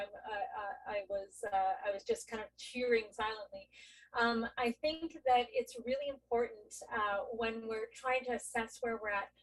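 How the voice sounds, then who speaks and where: A woman panelist speaking earnestly and thoughtfully, often correcting herself mid-sentence. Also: fairly high pitch. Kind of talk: reflective panel discussion. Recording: voice distant from the mic.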